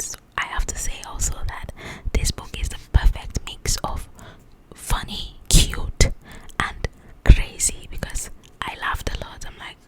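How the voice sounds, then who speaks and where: A woman whispering in an ASMR style as she casually chats about a book in a rambling, animated, informal way. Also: rough recording. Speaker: ASMR creator reviewing a book.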